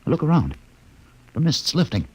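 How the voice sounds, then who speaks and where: An actor playing a cynical, fatalistic expedition doctor in an old radio drama, musing and deliberate, with a gravelly voice. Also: male voice, fairly high pitch, huge pitch swings, a little mellow, heavily acted, rough recording. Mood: intrigued, dry.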